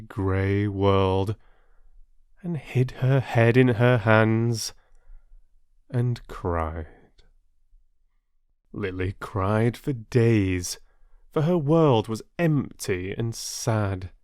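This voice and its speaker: A man narrating a children's story expressively as a storyteller, in a measured way with dramatic pauses. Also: fairly low pitch, a little mellow, smooth, no room sound, studio quality.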